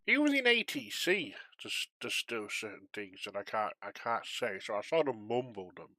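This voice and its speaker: Low, bright-voiced man chatting casually. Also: very animated, moderate pace, dry recording. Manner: chatty, rambling, self-deprecating. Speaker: streamer, volunteer air traffic controller on a flight simulation network. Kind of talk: casual chat with viewers while controlling.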